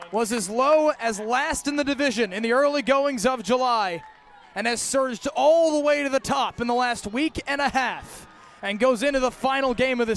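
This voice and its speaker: A man doing live radio baseball play-by-play commentary as the broadcaster, with punchy, clipped calls that build toward big, drawn-out plays. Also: very high voice, a bit bright.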